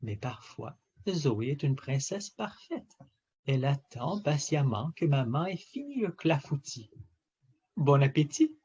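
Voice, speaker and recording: A man reading a children's story aloud in an expressive, theatrical way with emphatic phrasing. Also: fairly high pitch, a little slow, slightly rough, highs cut off. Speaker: storybook reader.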